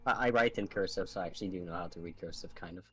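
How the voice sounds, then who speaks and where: A man's voice: low voice, rapid-fire, pushed and bright, soft background music. A man chatting informally with friends while they play and voice a video game on stream. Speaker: player in a fan-dub stream. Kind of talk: casual group game chat.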